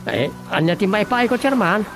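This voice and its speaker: A woman with an Ilocano accent playing a scheming, wisecracking village councillor with a creaky voice in a radio comedy. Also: medium pitch, expressive, moderate pace, soft background music, lightly acted. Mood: obliging. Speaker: radio drama voice actor.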